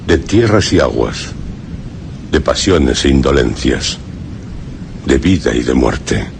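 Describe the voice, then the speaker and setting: A man's voice: extremely low pitch, vocal fry, slight background noise, heavily acted. A man playing a solemn sage of old in a radio drama, declaiming in a grandiose, poetic, reverent way.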